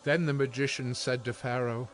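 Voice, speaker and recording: A man's voice: medium pitch, level tone, normal speed, a little hiss or hum, poor audio. A man narrating scripture from a script as part of a dramatized reading. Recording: music bed behind the voice. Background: music.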